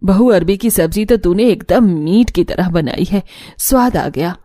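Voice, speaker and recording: A woman's voice: fairly low pitch, animated, unhurried, mellow. A woman voicing a bossy, thrifty older mother-in-law in a cartoon family drama, with a matter-of-fact, commanding manner. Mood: pleased.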